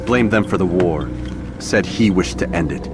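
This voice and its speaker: Deep-voiced terse assassin, played by a man. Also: moderate pace, natural voice. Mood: grave. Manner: clipped, cool, restrained. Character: stern, terse young male assassin. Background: orchestral game music.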